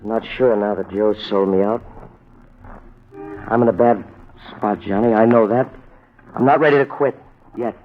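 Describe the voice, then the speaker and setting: An American actor playing a desperate, bitter fugitive killer in a 1950s radio drama, tense and threatening. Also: male voice, on the low side, steady tone, moderate pace, pauses often, close to an everyday voice. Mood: cornered, stubborn. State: drunk. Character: desperate, cornered male fugitive killer, bitter old friend. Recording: muffled old radio broadcast recording.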